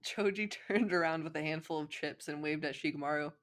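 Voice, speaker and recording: Young female fan fiction narrator, slightly low pitch, playful, giggly, informal banter. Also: fairly quick. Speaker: co-host, fan fiction reader.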